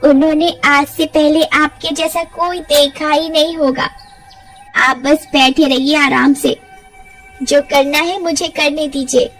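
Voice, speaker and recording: A woman's voice: sits high, fairly even intonation, slow pace, a bit bright, faint music behind, exaggerated voice, poor audio. A woman playing a sly witch who poses as a polite beautician in a story. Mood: slyly reassuring.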